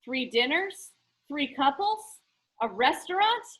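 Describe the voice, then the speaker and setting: Snobbish storybook schoolboy, read by a woman: high, bright, haughty and scheming. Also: very animated, slow, heavily acted, rough recording. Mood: puzzled. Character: smug, snobbish rich boy, scheming and competitive.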